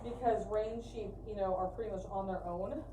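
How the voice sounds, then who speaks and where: A woman's voice: mid-range voice, fairly even intonation, moderate pace, dark tone. A woman chatting casually and informally as she explains things to livestream viewers. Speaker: co-host of a fiber arts livestream. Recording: roomy echo, distant mic, faint noise.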